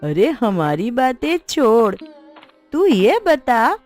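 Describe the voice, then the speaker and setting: Woman acting a high-pitched, lively gossiping village woman. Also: slow pace, faint music behind. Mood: chatty, teasing. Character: gossiping village women, teasing and chatty. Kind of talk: cartoon moral story dialogue.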